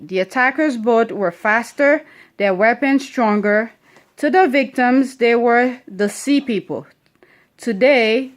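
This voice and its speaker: Slow, patient accented woman teaching at home. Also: fairly high pitch. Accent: non-standard English accent. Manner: checks understanding with frequent "okay?" and questions; deliberate, stretches key words while reading. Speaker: parent teaching her children at home. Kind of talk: reading a history book aloud and explaining it.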